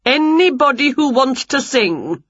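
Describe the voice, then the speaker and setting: Woman playing a fussy, flustered, husky choirmaster. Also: high voice, very animated, measured pace, fairly bright tone, no echo, lo-fi sound, pitched above the performer's own voice, exaggerated voice. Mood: stern. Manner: flustered, hesitant. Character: fussy, weary, worried male choir conductor. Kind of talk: children's storybook character voice.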